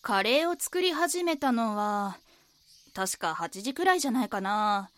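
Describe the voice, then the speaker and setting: Plain-spoken diner lady, kinda high voice, a bit defensive, audio drama. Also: sing-song, unhurried, fairly bright tone, no echo. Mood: frank, defensive. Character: plain-spoken woman running a local diner, defensive, frank. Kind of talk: audio drama dialogue, casual speech.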